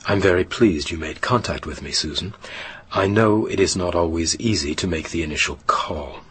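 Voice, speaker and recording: A man playing a formal, careful psychotherapist who probes with measured pauses in a naturalistic radio drama. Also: low voice, fairly bright tone, husky, heavily acted. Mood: courteous, professional. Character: measured, probing American male psychotherapist. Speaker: actor in a radio play.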